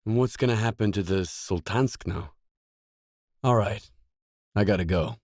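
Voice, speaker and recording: An actor playing a gruff, guarded lone mercenary in a video game, speaking in a terse, stern, matter-of-fact way. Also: male voice, fairly low pitch, unhurried, darkish tone, no room sound, studio quality. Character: gruff, guarded lone mercenary man, blunt and cool-headed. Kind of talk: scripted game dialogue lines.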